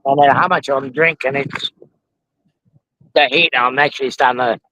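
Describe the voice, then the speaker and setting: An Australian man hosting a casual live stream outdoors, rambling with lots of ums while his voice goes hoarse. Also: medium pitch, fairly even intonation, normal speed, bright, forceful tone, no room sound, rough recording. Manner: rambling, frequent ums and restarts. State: losing his voice from the heat. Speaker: model railroading channel host. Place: outdoors at a roadside truck convoy.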